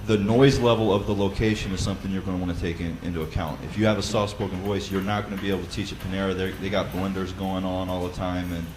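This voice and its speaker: A man with a loud voice who leads a Bible study, explaining practical advice in a conversational way with frequent fillers and restarts. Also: sits low, fairly even intonation, fast talker, few pauses, background noise. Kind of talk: instructional talk.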